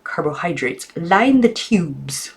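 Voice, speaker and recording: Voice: mid-range voice, big pitch swings, slow, gravelly, slightly rough, full character voice. A woman voicing a strict, prim elderly aunt in a storybook, enunciating precisely. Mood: strict, lecturing. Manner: precise, over-enunciated, stern.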